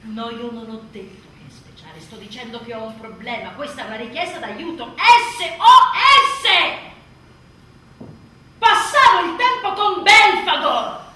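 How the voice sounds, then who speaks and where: Fed-up sarcastic new mom monologue, radio theatre, bright sharp voice, super echoey and far from mic. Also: female voice, high voice, animated, unhurried, heavily acted. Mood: indignant. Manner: emphatic, sarcastic, mimicking others mockingly. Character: exhausted, sardonic new mother venting about childbirth. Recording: echoey room, distant mic, faint noise.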